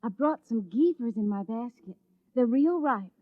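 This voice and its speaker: A woman's voice: on the high side, animated, moderate pace, dry recording. An actress playing an eager, encouraging village woman with a folksy, coaxing manner, in 1950s radio drama style. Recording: thin, phone-like old radio recording.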